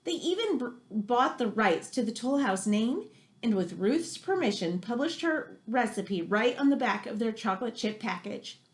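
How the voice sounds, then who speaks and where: A woman's voice: fairly high pitch, expressive, normal speed. A woman reading a nonfiction book aloud for children, chatty and exclamatory, with casual asides.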